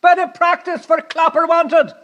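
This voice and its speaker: An actor in an audiobook drama playing a chatty, eager comic stage performer, proud and chirpy. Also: male voice, very high-pitched, fairly even intonation, moderate pace, fairly bright tone, dull audio.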